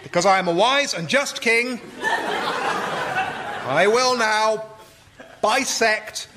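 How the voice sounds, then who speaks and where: A very high-voiced king in a radio comedy sketch, forever pleased with his own wisdom. He argues defensively and pompously, like someone who cannot bear being doubted. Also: drawn-out words, very noisy. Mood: exasperated. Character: petulant, self-satisfied king playing at being wise.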